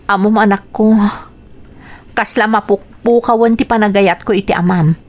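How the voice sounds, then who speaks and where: A woman's voice: medium pitch, fairly even intonation, moderate pace, darkish tone, lightly acted. An actress with an Ilocano accent playing a weary, long-suffering mother in a radio drama, plaintive and confiding. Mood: weary, resigned.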